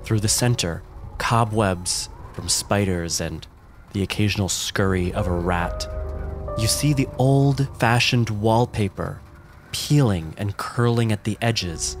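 A man narrating a tabletop roleplaying game as game master, in a measured, descriptive and dramatic storytelling manner. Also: fairly low pitch. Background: music.

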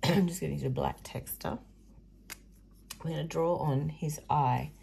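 A croaky-voiced woman talks through a craft tutorial in a slow, low, gravelly voice, chatty and prone to muttered asides. Her tone is mellow, and she sits at a table under an overhead camera, with a faint hiss behind her. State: hoarse, croaky voice. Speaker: craft video host. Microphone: overhead camera above a table, hands only.

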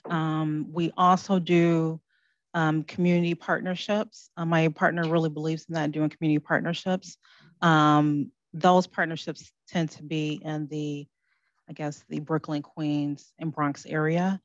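A woman speaking on a panel, soft-spoken and hesitant with many pauses. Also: sits low, flat delivery, dry recording, lo-fi sound. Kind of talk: panel talk. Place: conference room.

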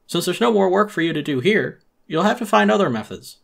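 Casting: man as a smug, smooth-talking boss creature from a game story, higher-pitched, condescendingly polite. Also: expressive, fairly quick, fairly bright tone, full character voice. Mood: smug, feigned politeness.

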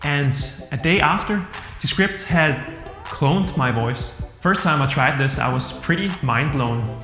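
A Danish-accented man in his thirties gives a casual, enthusiastic software review. His voice sits a little high for a man. The recording has a long, hall-like echo, and music plays faintly underneath. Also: animated, unhurried. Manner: upbeat and enthusiastic, plain-spoken.

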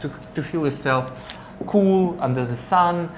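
A man's voice: fairly high pitch, a little slow, a little hiss or hum. Man describing perfumes with gusto. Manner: enthusiastic, descriptive, some non-native phrasing. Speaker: perfume reviewer co-host. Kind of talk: casual chat. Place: bright indoor studio with flower wall. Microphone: lapel mic.

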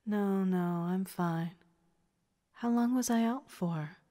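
A woman's voice: mid-range voice, slow pace, mellow, natural voice. A woman voicing a bewildered, defiant captive man who protests with alarm in an audio story. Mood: confused. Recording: reverberant hall-like echo.

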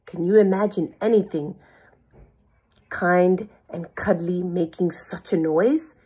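A woman's voice: lowish voice, steady tone, takes it slowly, warm tone, slightly rough, heavily acted. A woman voicing a clever, self-satisfied owl in a children's storybook, pompous and dramatic. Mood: knowing.

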